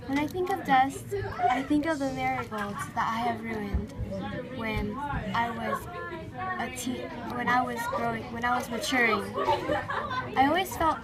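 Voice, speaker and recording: A woman's voice: a little high, natural voice. A young woman playing a guilty, frustrated teenage girl in an amateur puppet show. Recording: loud crowd noise behind.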